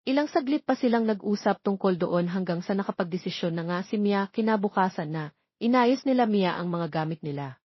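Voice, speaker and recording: A woman's voice: medium pitch, animated, brisk, slightly rough, no room sound. Filipino woman narrating, dry muffled sound. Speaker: audiobook narrator. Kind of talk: scripted romance story narration. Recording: slightly dull, lo-fi recording.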